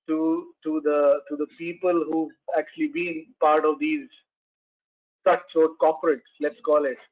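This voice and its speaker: Male panel moderator, somewhat high voice, halting and filler-heavy, on a muffled video call. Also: a little mellow. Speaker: webinar moderator.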